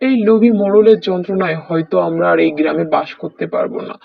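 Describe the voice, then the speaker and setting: Cartoon role: desperate poor villager played by a man, high and gravelly, imploring. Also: fast. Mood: despairing. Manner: plaintive, imploring. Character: poor, desperate village farmer pleading for his land. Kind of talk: melodramatic cartoon dialogue. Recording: dull lo-fi cartoon audio.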